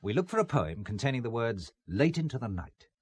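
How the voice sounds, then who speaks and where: An actor playing a shrewd, determined amateur investigator in an audio drama, assured and probing with a dry wit. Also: male voice, medium pitch, sing-song, fairly quick, darkish tone, husky, dry recording. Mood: brisk, purposeful. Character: shrewd, determined amateur investigator, calm and dryly witty man. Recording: faint music behind the voice. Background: faint music.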